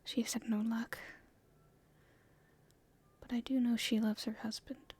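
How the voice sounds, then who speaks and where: A woman playing an earnest, hopeful young princess in a soft, halting, breathy whisper for an ASMR roleplay. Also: fairly high pitch, flat delivery. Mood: thoughtful, hopeful. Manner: halting, soft-spoken, thinking aloud.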